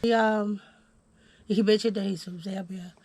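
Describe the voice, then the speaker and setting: A woman's voice: mid-range voice, measured pace, no echo. Dry close-miked woman host. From Houston, Texas. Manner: relaxed, filler-heavy, reads from notes. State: under the weather. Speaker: podcast host. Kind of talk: casual conversation.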